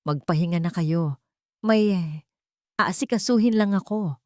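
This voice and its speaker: A woman voicing a brusque, hurried, wealthy young man in an audio storybook. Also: on the low side, dry recording, clean studio sound, lightly acted.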